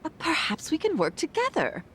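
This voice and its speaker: A woman playing a kindly but firm older cleaning lady in a video game, genteel and gently fussy. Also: high-pitched, wildly swooping pitch, a little fast, slight background noise, exaggerated voice. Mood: friendly, firm. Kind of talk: video game cutscene acting.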